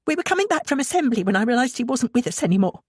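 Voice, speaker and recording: A woman's voice: a little high, sing-song, rapid-fire, breathy edge, no echo, studio quality, pitched above the performer's own voice. A woman voicing an earnest, worried female schoolteacher in an audiobook, polite but insistent. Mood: flustered, concerned.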